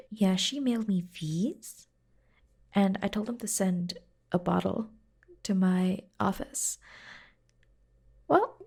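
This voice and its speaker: A woman playing a caring, teasing secretary in an ASMR roleplay, speaking softly and gently, often dropping to a whisper. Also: a little high, vocal fry, exaggerated voice. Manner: slow, gentle, playful, intimate. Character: caring, teasing, devoted young female secretary fussing over her boss. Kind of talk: soft-spoken ASMR roleplay.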